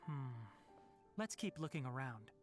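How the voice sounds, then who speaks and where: An actor playing a calm, analytical young investigator in a video game, reasoning in a thoughtful, measured way. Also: mid-range voice, over music, lightly acted. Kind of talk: dramatic game voice acting.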